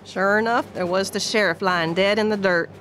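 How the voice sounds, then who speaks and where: A woman's voice: mid-range voice, steady tone, normal speed, fairly bright tone, soft background music, close to an everyday voice. A woman playing a folksy, wry storyteller, narrating a scripted Wild West audio play.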